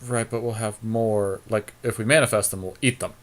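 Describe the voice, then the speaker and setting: A man's voice: fairly low pitch, fairly even intonation, fairly quick. A man co-hosting a podcast, chatting informally and thinking aloud as he weighs choices during a card game. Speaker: podcast co-host. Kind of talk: casual chat during a card game draft.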